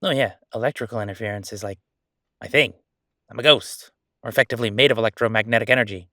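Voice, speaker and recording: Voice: mid-range voice, quick pace, fairly bright tone, dry recording, clean studio sound, close to an everyday voice. An actor playing a chatty, sardonic ghost roommate in a roleplay audio, talking in a casual, teasing, exclamatory way. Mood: upbeat, casual. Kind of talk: roleplay audio acting, conversational.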